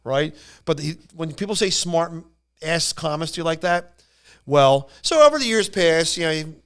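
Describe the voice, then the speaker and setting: A man casually telling anecdotes on a podcast in a rambling, animated way, acting out what people said. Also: a little high, normal speed, smooth. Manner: rambling, animated retelling with acted-out quotes. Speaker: podcast storyteller.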